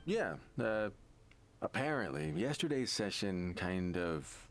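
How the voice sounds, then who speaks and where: A man's voice: low voice, very animated, takes it slowly, heavily acted. A man playing an uneasy, evasive townsman in a video game, hesitant and dismissive. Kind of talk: English video game voice acting. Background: game music.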